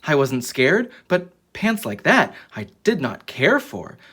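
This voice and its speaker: A storyteller with a husky voice reading a children's book aloud in a dramatic, expressive way. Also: mid-range voice, takes it slowly. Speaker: children's story reader and channel host.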